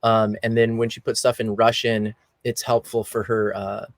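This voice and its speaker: An American man hosting an interview on a remote call, relaxed and conversational with frequent ums. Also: lowish voice, normal speed, no room sound, clean studio sound. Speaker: podcast host. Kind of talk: casual interview.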